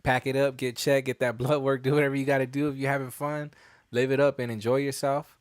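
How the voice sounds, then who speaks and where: A young man talks in a loose, slangy way, dropping curse words as he co-hosts a chat podcast. His voice sits at an ordinary middle pitch. The sound is dry and close, with no room echo. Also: level tone, fast, clear, resonant tone. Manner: laid-back slangy talk, swears freely. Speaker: podcast co-host. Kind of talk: casual chat.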